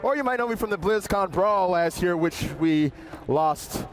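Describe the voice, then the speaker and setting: Male esports reporter, fairly high voice, easygoing and enthusiastic live chat, slight event noise behind. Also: animated, moderate pace, rough recording. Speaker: esports correspondent. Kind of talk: casual live broadcast chat. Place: live set in a convention center. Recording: faint convention floor noise.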